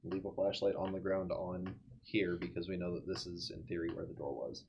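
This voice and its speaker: A man's voice: low voice, steady tone, brisk, vocal fry, a little mellow. A man playing a tabletop role-playing game, talking casually through plans with the other players, partly in character. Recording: dull, lo-fi, faintly noisy recording.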